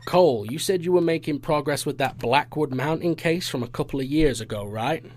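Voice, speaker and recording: A man's voice: a little high, fairly quick, close to an everyday voice. A man playing a protective, earnest investigations boss in an audio drama, speaking conversationally. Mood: businesslike. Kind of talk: audio fiction acting.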